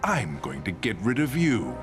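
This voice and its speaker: A man voicing a scheming, arrogant billionaire supervillain in a video game, smug, deliberate and theatrical. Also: fairly low pitch, huge pitch swings, music in the background. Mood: gloating. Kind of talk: animated video game acting.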